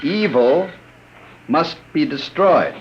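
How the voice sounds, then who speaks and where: An actor playing a commanding, sardonic criminal boss in 1950s radio drama style. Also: male voice, on the high side, expressive, slow pace. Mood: commanding, instructing. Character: domineering, sly male criminal boss, smoothly threatening. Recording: dull, hissy old radio recording.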